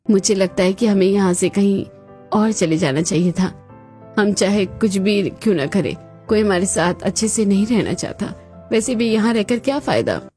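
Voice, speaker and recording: A woman's voice: mid-range voice, animated, normal speed, warm tone, soft background music, lightly acted. Woman acting outspoken young daughter-in-law. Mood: dejected. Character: proud, outspoken young daughter-in-law who stands her ground. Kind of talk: Hindi cartoon moral-story voice acting.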